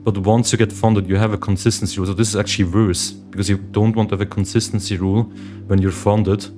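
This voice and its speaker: Man, young adult, from Switzerland, livestream host, casual chat, lowish voice, meditation music behind. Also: level tone, fast talker. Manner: chatty, addresses viewers as guys, reads chat aloud. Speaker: livestream host, day trader.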